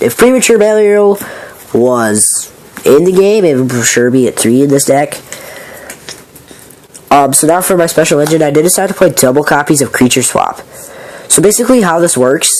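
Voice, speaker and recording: A man who is sick with a raspy voice, casually walking through his card game deck in a rambling, filler-heavy, apologetic way. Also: medium pitch, a little mellow, a little hiss or hum, poor audio.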